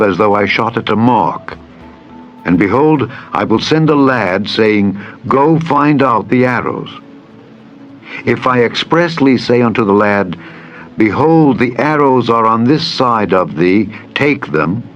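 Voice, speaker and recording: A man voicing a loyal, solemn prince and devoted friend in a dramatized scripture reading, with measured archaic oaths. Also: lowish voice. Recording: dull lo-fi audio with music. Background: background music.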